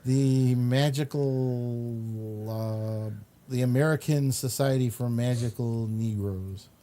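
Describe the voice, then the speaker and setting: A man hosting a talk show in casual chat, with drawn-out, emphatic repetition. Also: mid-range voice, steady tone, mellow. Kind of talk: casual chat, commenting on pictures. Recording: clear background noise.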